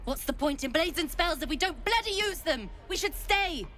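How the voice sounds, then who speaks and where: Fiery young adventuress, indignant and high. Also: female voice, expressive, normal speed, a bit bright, faint music behind. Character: hot-headed young female adventurer.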